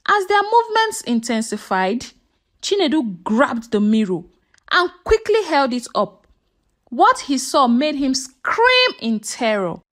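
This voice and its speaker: A woman narrating a scripted folktale in a dramatic, suspenseful manner. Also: high voice. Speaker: storyteller.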